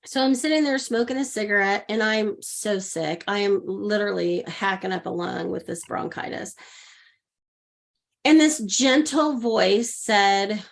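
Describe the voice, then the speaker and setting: A woman's voice: medium pitch, measured pace, creaky. A woman around sixty, a guest coach, telling a personal story in a podcast interview and acting out the voices of people she quotes. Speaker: guest, intuitive leadership coach.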